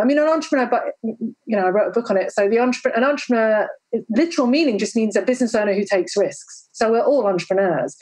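A woman guest on a podcast, chatty and self-deprecating, answering with lively humor and casual fillers. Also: mid-range voice, rough recording. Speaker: guest, entrepreneur.

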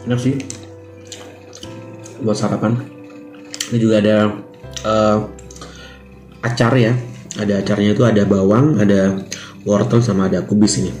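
A man's voice: fairly low pitch, fairly even intonation, moderate pace, long pauses, a little mellow. A man in his thirties chatting casually as he unboxes and tastes hotel breakfast food, recorded by a camera at the table in a small hotel room. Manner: chatty, describes food while eating. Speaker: food vlogger. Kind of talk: casual food review vlog. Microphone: camera at table distance. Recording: echoey room with music behind. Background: background music.

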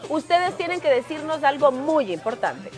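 A woman's voice: sits high, big pitch swings, moderate pace, soft background music. A woman hosting a morning TV show, upbeat and enthusiastic, in a studio kitchen set. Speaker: TV host.

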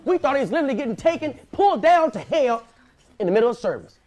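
High, wildly animated indignant churchgoer. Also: male voice, quick pace. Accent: American. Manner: exclamatory, indignant, theatrical. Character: aggrieved, outspoken male churchgoer. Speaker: plaintiff. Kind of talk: comedic courtroom show acting. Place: courtroom, standing.

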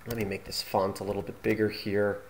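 A man hosting an informal screencast tutorial, talking haltingly as he thinks aloud through each step. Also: fairly low pitch, level tone. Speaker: tutorial host.

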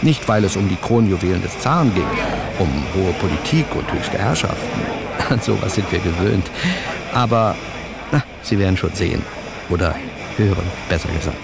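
An actor playing a wry, chatty reporter who narrates a radio drama in a conversational way. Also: male voice, low voice, animated, moderate pace, gravelly, a loud crowd. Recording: loud outdoor vehicle ambience behind.

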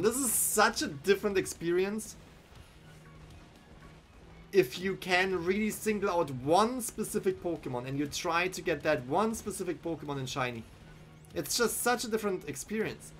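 Young German-accented male streamer, headset, slightly high voice, chatty live commentary over faint game music. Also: fairly bright tone. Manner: chatty, thinking aloud, answers chat. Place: small room indoors.

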